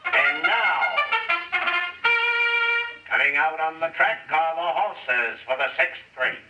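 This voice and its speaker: Male track announcer, forceful bright delivery, echoey public-address sound, radio comedy. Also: a little high, animated, slow pace, distant mic, dull audio, soft background music, exaggerated voice. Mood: announcing. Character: brisk male racetrack announcer. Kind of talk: race commentary.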